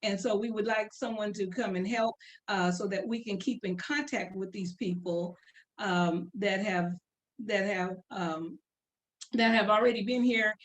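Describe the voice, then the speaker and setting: A woman who represents a community museum, speaking informally and hesitantly with frequent pauses and fillers. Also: mid-range voice. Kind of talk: informal guest talk.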